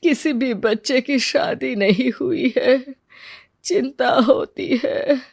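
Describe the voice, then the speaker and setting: Woman doing a very slow, plaintive old father. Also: high voice, a little mellow, dry recording, studio quality, full character voice. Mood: tearful, worried. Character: weary, worried elderly father of poor family. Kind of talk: cartoon moral story acting.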